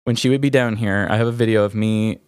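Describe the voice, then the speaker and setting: A man hosting a podcast, talking candidly and reflectively in a halting way, searching for words. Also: on the low side, fairly even intonation, darkish tone, smooth, no room sound, studio quality. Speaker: podcast host. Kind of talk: candid, reflective personal conversation.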